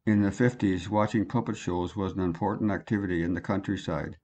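A man's voice: deep voice, fairly even intonation, a little fast, darkish tone. A man reading a scripted educational narration in a plain explanatory way.

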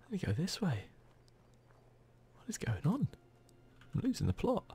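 A man giving soft-spoken, whispered ASMR commentary on a video game, murmuring casually as if to himself. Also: sits high, wildly swooping pitch, a little slow, breathy edge. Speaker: gaming video host.

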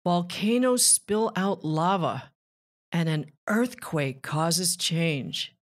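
A woman reading a rhyming children's book aloud with measured, deliberate phrasing. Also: fairly low pitch, animated. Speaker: children's author and narrator.

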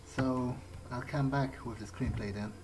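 A man's voice: medium pitch, fairly even intonation, unhurried, noisy background. A man playing a video game in character, speaking hesitantly and thinking aloud in short phrases. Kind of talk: in-character game playthrough commentary.

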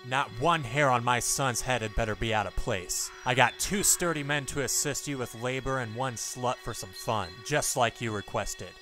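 A man playing a stern, angry gang leader and protective father in a radio play, forceful and commanding. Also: mid-range voice, moderate pace, few pauses, faint music behind, lightly acted. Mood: stern, demanding.

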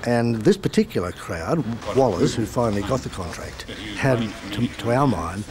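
An older man with an Australian accent, recalling events in a measured interview manner. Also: medium pitch, big pitch swings, a crowd in the background. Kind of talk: interview recollection.

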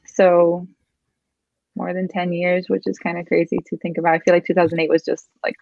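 Casual woman in her 30s, low-ish voice, webcam audio, a bit muffled. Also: level tone, a little fast, vocal fry, a little mellow, no room sound. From Miami, Florida. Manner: chatty, laughs easily, many "like" and "um" fillers. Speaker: guest photographer. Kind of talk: casual video-call interview. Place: home room with a chair. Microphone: webcam on a video call. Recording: dull, slightly lo-fi video-call audio.

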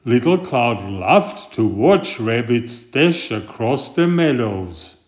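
Slow, animated man reading a picture book. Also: mid-range voice. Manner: deliberate, expressive storytelling. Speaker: storybook reader and author. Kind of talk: children's picture book read-aloud.

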